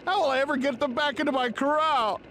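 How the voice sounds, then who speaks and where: Excitable old-timer, high voice, folksy shopkeeper, cartoon game, noisy background. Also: male voice, fast. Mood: distraught. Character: excitable old male sea-creature bait shop owner. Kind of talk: cartoon video game acting. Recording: clear background noise.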